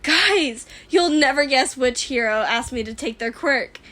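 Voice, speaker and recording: Woman as an earnest, exasperated teenage boy, high-pitched. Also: expressive, a little slow, pushed and bright, heavily acted. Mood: sheepish, urgent. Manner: eager, exclaiming, exasperated.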